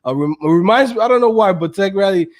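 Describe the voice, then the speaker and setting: A man with a high, bright-toned speaking voice, chatting loosely as a guest. He is hyped and slangy and breaks into laughter often. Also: animated, quick pace. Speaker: guest, coding course creator. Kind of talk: casual podcast chat.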